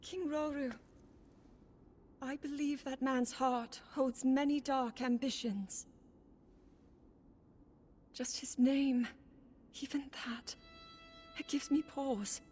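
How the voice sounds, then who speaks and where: An actress playing an earnest young princess in a game cutscene, speaking hesitantly. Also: female voice, high voice, fairly even intonation, slow pace, pauses often, darkish tone. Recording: game cutscene over music.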